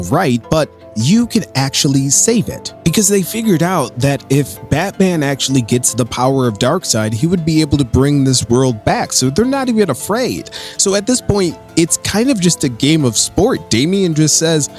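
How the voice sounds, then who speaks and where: Guy doing a chatty comics recap, mid pitched voice, into it like a fan, music behind him. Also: very animated, moderate pace, a little mellow. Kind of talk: casual story recap commentary. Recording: voice with music underneath.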